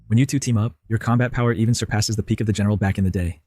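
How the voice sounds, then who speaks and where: A man's voice: lowish voice, steady tone, very fast, darkish tone, no room sound, lightly acted. A man voicing a pragmatic, matter-of-fact military strategist in an English anime dub.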